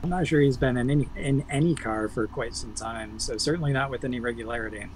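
A man analysing racing for a betting preview over a video call, measured and stat-heavy with the odd um. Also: medium pitch. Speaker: motorsports analyst guest.

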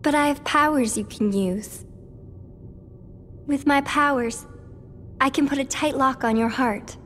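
High, gentle, solemn game girl. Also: unhurried. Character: gentle, solemn teenage girl with memory powers. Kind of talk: soft video game cutscene acting. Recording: reverberant hall, faint background noise.

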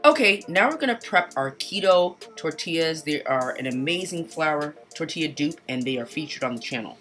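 Low, casual woman narrator for a home cooking voice-over, soft music under her. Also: moderate pace. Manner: relaxed, chatty instructions with casual asides. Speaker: cooking channel host. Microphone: home voice-over mic.

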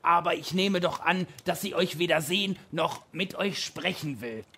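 Creaky, high-voiced old manservant. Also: male voice, moderate pace, bright, forceful tone, slight background noise. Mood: reassuring. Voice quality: husky, creaky. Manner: deferential, old-fashioned formal address. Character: shrivelled, eager, quirky old manservant. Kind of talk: German radio play acting.

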